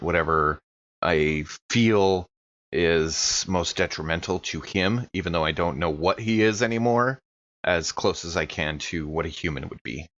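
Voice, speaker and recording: A man's voice: very deep voice, fairly bright tone, dull audio. A man talking casually as a player at a tabletop role-playing game.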